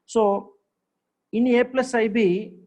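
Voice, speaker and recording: A man's voice: very high voice, steady tone, slow pace, darkish tone. A man, teaching maths in a live online class. He repeats himself, checks on his students often and praises their answers.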